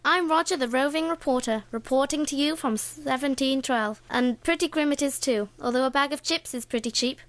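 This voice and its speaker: A woman's voice: high voice, fairly quick, few pauses, a bit bright, no room sound. A young woman playing Roger, a male roving reporter in a comic radio play. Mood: earnest reporting. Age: young adult. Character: chirpy male roving reporter sent back in time.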